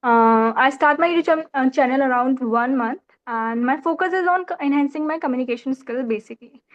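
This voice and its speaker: A woman's voice: high-pitched, brisk, muffled recording. A young woman from Uttar Pradesh with an Indian English accent, talking earnestly and politely as an English learner on a video call, often restarting her sentences. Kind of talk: casual video call conversation.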